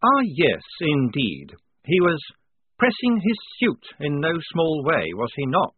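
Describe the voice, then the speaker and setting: A man's voice: on the high side, wildly swooping pitch, takes it slowly, breathy edge, dry recording, narrow, tinny audio, pitched above the performer's own voice, heavily acted. A man voicing a nice but worried army officer who is prim, polite and pompous, trying to sound firm. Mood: hesitant, conspiratorial. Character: nice, worried young male army officer feigning firmness.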